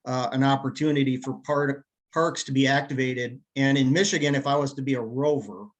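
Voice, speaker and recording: Mid-pitched man asking questions casually. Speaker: club meeting attendee asking a question. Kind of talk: casual question from a meeting participant.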